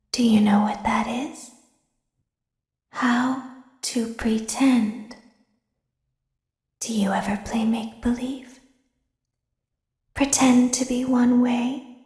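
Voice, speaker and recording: A woman voicing a sinister, girlish female animatronic who talks in a soft, menacing whisper. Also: fairly high pitch, reverberant. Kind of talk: fan voice acting of game lines.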